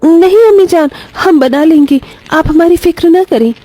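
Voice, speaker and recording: A woman's voice: very high-pitched, normal speed, mellow, soft background music, voice pushed up. A woman voicing a kind, devoted young daughter-in-law in a moral story, speaking earnestly, respectfully and with feeling. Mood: warm, caring.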